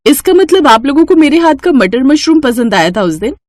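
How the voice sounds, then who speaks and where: A woman's voice: high voice, big pitch swings, fairly quick, a little mellow, no echo, studio quality, voice pushed up. A woman voicing a sweet young bride in a cartoon story, earnest, polite and anxious to please. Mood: eager.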